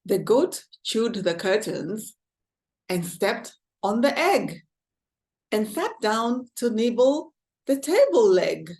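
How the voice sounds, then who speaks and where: A woman with a Kenyan accent reading a picture book aloud to children, with expressive exclamations. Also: on the high side, slow pace. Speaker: storytime host.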